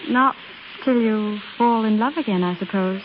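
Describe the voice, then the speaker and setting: An actress playing a wistful, coy young American office girl in old radio drama style, with hesitant, halting delivery. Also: medium pitch, natural voice. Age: young adult. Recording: tinny, hissy old radio recording.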